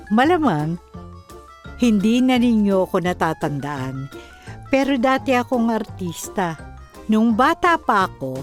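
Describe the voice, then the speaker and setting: A woman hosting a radio drama, reading a letter aloud in scripted, dramatic narration. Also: mid-range voice. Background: faint background music.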